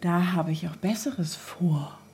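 A woman's voice: on the low side, takes it slowly, a little mellow. An actress playing a breezy, affectionate woman of thirty-seven in a naturalistic radio play, talking in a casual, colloquial way. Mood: teasing, hurried. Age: 30s. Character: breezy, affectionate 37-year-old girlfriend of an older man.

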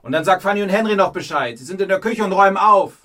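An actor playing a gruff but fond father who runs a hotel, casual and matter-of-fact, in a German children's radio drama. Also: sits high, expressive, very fast, pushed and bright, slight background noise.

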